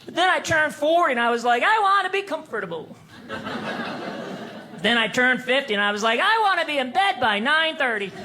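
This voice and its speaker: A man in his fifties doing a stand-up comedy set on a club stage, telling stories wryly and landing crisp punchlines. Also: very high voice, brisk, noisy background. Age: 50s. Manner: wry, deadpan storytelling with crisp punchlines.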